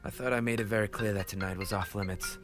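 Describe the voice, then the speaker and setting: A man playing a tough but soft-hearted gang leader boyfriend in an intimate romantic audio roleplay, affectionate and playfully teasing, with mock complaints. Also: on the low side, steady tone, fast talker, music in the background, close to an everyday voice. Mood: apologetic, gentle.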